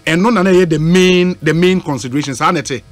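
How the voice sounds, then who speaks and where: Higher-pitched Ghanaian male radio host. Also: fairly quick, no room sound. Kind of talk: live radio interview. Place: small radio studio. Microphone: studio microphone with headset.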